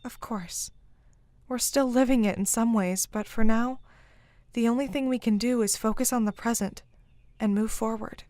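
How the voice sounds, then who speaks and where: A woman as a high-voiced, determined young heroine. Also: fairly even intonation, moderate pace, warm tone, no room sound, clean studio sound, natural voice. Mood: reassuring. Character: determined young female fantasy fugitive, protective and blunt. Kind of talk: fantasy audio drama acting.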